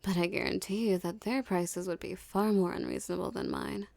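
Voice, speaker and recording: A dominant female mafia boss, played by a woman for an ASMR roleplay, speaking in a mellow, creaky voice recorded close and dry. She teases and condescends softly, with seductive, menacing confidence. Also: mid-range voice, fairly even intonation, no echo, close to an everyday voice. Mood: coaxing, self-assured. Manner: slow, teasing, condescending drawl.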